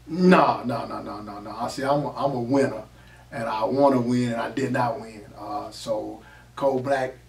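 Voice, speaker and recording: A hoarse man in his forties from the Chicago area, a drag racer answering interview questions with many fillers. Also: medium pitch. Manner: halting, many fillers, flat delivery. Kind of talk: casual interview. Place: small studio office. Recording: echoey room, mic far off, faint noise.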